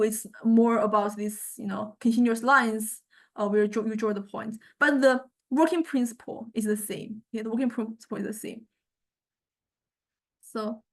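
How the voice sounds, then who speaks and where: A woman giving an informal software demonstration as a lecturer, thinking aloud in a halting, self-correcting way. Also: a little high. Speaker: music technology lecturer.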